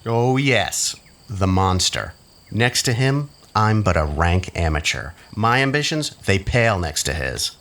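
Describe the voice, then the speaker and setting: An actor playing a sly, mocking schemer in an audio drama, with theatrical, insinuating delivery. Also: male voice, deep voice, very animated, measured pace, a bit bright, heavily acted.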